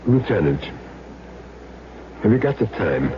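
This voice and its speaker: A low, swooping American male voice as a cagey hired gun making his confession. He talks in plain street slang, earnest and self-justifying. It sounds like an old radio crime play, dull and noisy with hum. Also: big pitch swings, a little slow. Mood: cagey, dry. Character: cagey small-time hired gun confessing, insisting he's clean. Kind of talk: old-time radio crime drama acting.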